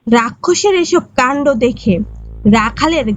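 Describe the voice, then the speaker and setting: A woman's voice: high voice, lively intonation, unhurried, dry recording. A woman narrating a scripted fairy tale as the storyteller of a cartoon.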